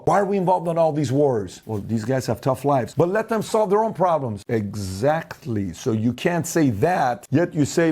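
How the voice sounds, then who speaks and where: Emphatic man with a mellow voice. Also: mid-range voice, breathy edge. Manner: emphatic, argumentative, acts out opponents in quick quoted asides. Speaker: host. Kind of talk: opinion monologue to camera.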